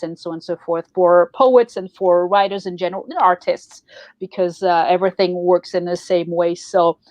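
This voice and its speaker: A woman's voice: medium pitch, no echo. A woman whose first language is French, co-hosting a podcast and explaining writing tips in a casual, roundabout way with many fillers. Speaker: podcast co-host. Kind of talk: casual advice talk.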